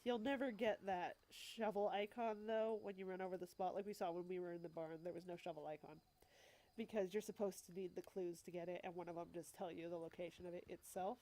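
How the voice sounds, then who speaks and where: A woman's voice: on the low side, steady tone, fairly quick, dark tone, poor audio. A woman with a stuffy cold talking casually as a let's play host, explaining the game as she goes with wry asides. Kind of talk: casual gameplay commentary.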